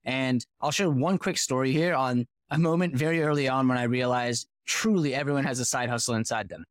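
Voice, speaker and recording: Man, 30s, founder-guest from Boston, mid-pitched, fluent storyteller, dry close sound. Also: brisk, no room sound. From United States (Boston). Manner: fluent, animated storyteller who poses rhetorical questions. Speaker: podcast guest, company founder. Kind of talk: casual interview storytelling.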